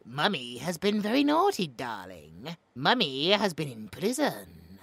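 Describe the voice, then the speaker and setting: A man's voice: fairly high pitch, wildly swooping pitch, measured pace, fairly bright tone, breathy edge, dry recording, full character voice. A man with a British accent playing a smug, cruel mad scientist in an audio roleplay, taunting, theatrical and clinically precise. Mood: mock-sweet.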